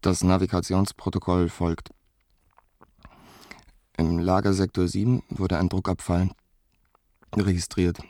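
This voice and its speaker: A male actor playing a groggy astronaut in a German radio play, dictating a formal log in a halting, thick-tongued way. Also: low voice, level tone, mellow, no echo, studio quality. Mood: groggy, dutiful.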